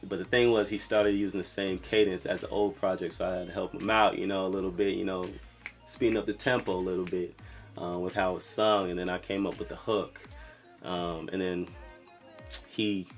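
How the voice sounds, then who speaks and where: Young man from Brooklyn, singer-songwriter, low soft voice, relaxed and rambling. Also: moderate pace, creaky, faint music behind. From Brooklyn, New York. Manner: relaxed, rambling, lots of fillers like "you know". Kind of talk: casual talk to camera about his music.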